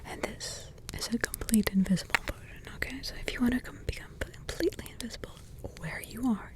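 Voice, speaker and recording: A woman voicing a doting grandmotherly witch in an ASMR roleplay, with a soft, tender whisper and rambling asides. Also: a little high, close to an everyday voice, poor audio. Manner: tender, sidetracked asides, affectionate endearments.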